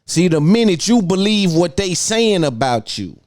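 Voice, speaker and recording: A man's voice: high voice, very animated, normal speed, darkish tone, no echo, studio quality. A man hosting a podcast, giving an opinionated monologue and repeating phrases for emphasis. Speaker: podcast host.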